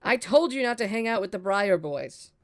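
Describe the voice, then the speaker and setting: A woman's voice: medium pitch, lively intonation, moderate pace, close to an everyday voice. A woman playing a strict, exasperated, protective barmaid mother in a pirate story table read, scolding and emphatic.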